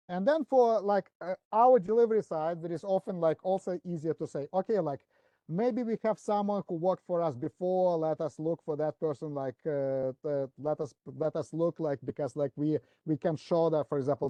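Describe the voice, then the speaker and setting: A man's voice: on the high side, normal speed, dark tone, dry recording. A man speaking in a webinar, explaining a work process with many fillers and restarts. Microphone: video call.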